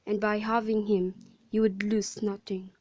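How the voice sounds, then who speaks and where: A woman's voice: mid-range voice, flat delivery, measured pace, a little mellow, no echo, close to an everyday voice. A woman in an amateur radio drama, playing a commanding noble mother who speaks insistently. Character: commanding noble mother pressing her daughter.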